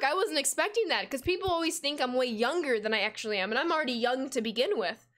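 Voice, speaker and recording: A young woman in her twenties chats casually on a game stream, her voice sitting a little high. She reads viewer messages aloud between plays and breaks into quick gasps and laughter, heard through a desk mic at home. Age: 20s. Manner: chatty, reads chat aloud, bursts of excited gasps and laughs. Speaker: gaming streamer. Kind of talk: casual live-stream chat while playing.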